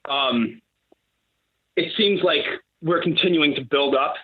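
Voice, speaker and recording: A man's voice: on the high side, slow pace. Man on a tinny speakerphone call. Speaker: caller. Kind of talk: casual phone call. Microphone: phone on speaker.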